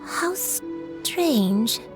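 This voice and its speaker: A woman voicing a gentle, sorrowful and forgetful elderly grandmother in a video game, halting and wistful. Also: fairly high pitch, sing-song, music in the background, heavily acted. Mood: puzzled, apologetic. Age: older. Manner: slow, halting, wistful delivery.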